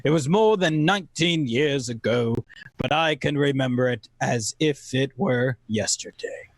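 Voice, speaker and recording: A man playing a reflective narrator who recalls a sea adventure in measured radio play storytelling. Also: medium pitch, no room sound.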